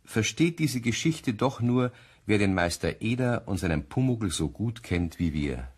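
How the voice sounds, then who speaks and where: Male narrator, low husky voice, animated pitch, expressive children's storytelling. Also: measured pace.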